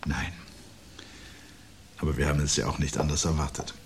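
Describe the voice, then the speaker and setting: An actor playing a brooding, dryly ironic man in a literary radio play, speaking formal, measured lines with a husky voice. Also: a bass voice, little pitch movement, slight background noise. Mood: brooding, resigned. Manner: measured, dry, articulate, formal. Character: brooding, dryly ironic man awaiting looming catastrophe at home.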